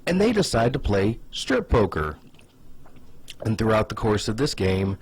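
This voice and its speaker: A man's voice: fairly low pitch, sing-song, a little slow, breathy edge. A man giving casual comedic commentary in a conversational way, with hesitant asides and plenty of "like". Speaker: online video host.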